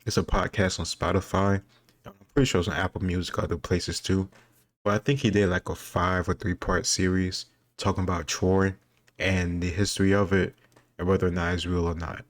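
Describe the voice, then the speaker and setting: A man's voice: low-pitched, little pitch movement, a little fast, no echo. A man hosting a casual reaction video, thinking aloud in a reflective way as he gives his commentary. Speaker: video host taking a history quiz.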